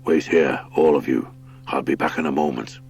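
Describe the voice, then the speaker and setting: A man plays an old badger lord from an animal adventure audiobook. He is gruff and very low in pitch, a steady commander who speaks with deliberate authority and weighs things as he talks. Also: faint music behind, full character voice. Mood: commanding. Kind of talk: audiobook character acting.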